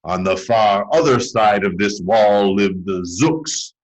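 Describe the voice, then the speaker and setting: A man's voice: on the low side, expressive, slow pace, pitched below the performer's own voice. A man voicing a very old, earnest and indignant grandfather in a storybook, grave and emphatic. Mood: grave warning.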